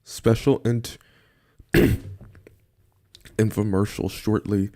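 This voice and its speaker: Guy doing live reactions, slow easy pace, thinks out loud, kinda amused, faint music. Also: on the low side, flat delivery. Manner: informal, amused, thinking aloud. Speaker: reactor, streamer. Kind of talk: casual live reaction commentary.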